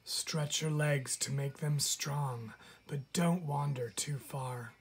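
A woman voicing a gentle, protective mother deer in a storybook, husky and instructive. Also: sits low, fairly even intonation, slow pace. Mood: tender, instructive.

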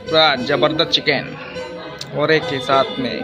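An excited young man holds his phone at arm's length and talks straight to his viewers in a busy restaurant. His voice sits a little high. Loud music plays under everything he says. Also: measured pace. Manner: excited, talks to viewers, mixes in English words. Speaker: food vlogger. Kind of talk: casual vlog commentary. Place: busy restaurant on opening day, indoors. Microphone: phone held at arm's length.

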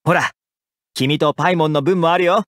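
An actor playing a friendly, caring young housekeeper in an anime-style game, speaking casually and expressively with gentle attentiveness. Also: male voice, fairly high pitch, fairly even intonation, normal speed, dry recording, clean studio sound, close to an everyday voice. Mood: cheerful, caring. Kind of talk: Japanese anime game character voice lines.

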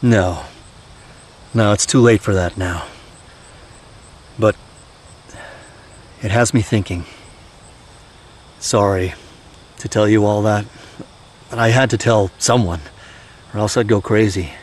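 An actor playing a weary, haunted middle-aged soldier and gentle father in an audio drama, halting and confiding. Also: lowish voice. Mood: troubled, self-dismissive. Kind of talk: naturalistic audio drama acting. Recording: steady outdoor night-insect noise.

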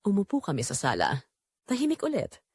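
A woman's voice: mid-range voice, huge pitch swings, fairly quick, a little mellow, slightly rough, no room sound, clean studio sound, full character voice. A woman with a Filipino accent playing a tender, long-neglected middle-aged wife and mother, narrating her own romantic audio drama in an introspective, confessional way. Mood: wistful.

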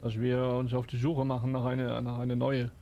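A man's voice: medium pitch, monotone, a little fast, dull audio, heavy background noise. A man improvising in character in a survival role-play, hesitant and often tacking questions onto his sentences.

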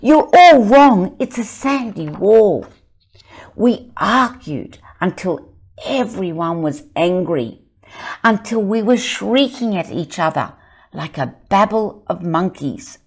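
Expressive husky older woman storyteller. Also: mid-range voice, slow pace. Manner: expressive, dramatic storyteller, breathy at times. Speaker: author reading her picture book. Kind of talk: storybook reading with commentary. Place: indoor studio set, seated in armchair.

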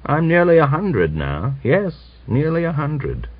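A man's voice: a little high, wildly swooping pitch, no room sound, pitched above the performer's own voice, exaggerated voice. A man doing a bitter ancient old man. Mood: wistful. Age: older. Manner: scolding, preachy, slow scripture reading. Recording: dull dry recording.

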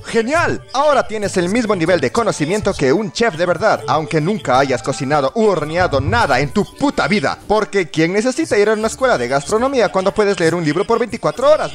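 Animated, high-pitched sarcastic man narrating. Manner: sarcastic, exaggerated, exclamatory. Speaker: fandub narrator. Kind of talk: scripted comedic parody narration. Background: music.

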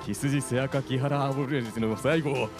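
A man's voice: mid-range voice, steady tone, fairly quick, natural voice. A man playing a stern, protective soldier ant with a commanding manner in a radio drama. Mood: angry, threatening. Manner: commanding, threatening. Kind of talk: live radio drama acting. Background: music.

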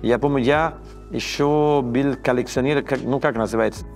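A man in his fifties speaks Russian with a strong Italian accent, his voice rough with creak. He stumbles and restarts words as he hunts for the right phrase, in a quiet studio interview. Faint music sits under the talk. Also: medium pitch, steady tone. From Italy. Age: 50s. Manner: halting, frequent restarts and stammers, searching for words. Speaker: chef, interview guest. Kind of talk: casual interview conversation. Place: dark indoor studio set, seated in armchair.

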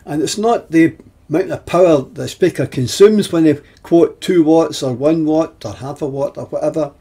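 Man in his seventies, instructional presenter, slightly high-pitched, soft mellow tone, husky, halting deliberate delivery. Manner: deliberate, halting phrasing with pauses. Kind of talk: explanatory technical lesson over slides.

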